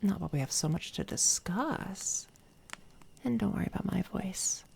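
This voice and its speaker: Smug evil-twin villainess, played by a woman, ASMR roleplay: soft, whispery, taunting, dark-edged brightness kept low, heavy vocal fry. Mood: smug, mocking. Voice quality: soft, breathy, often slipping into whisper. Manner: taunting, condescending, unhurried. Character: smug, menacing villainess doppelganger from another timeline. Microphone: close binaural microphone.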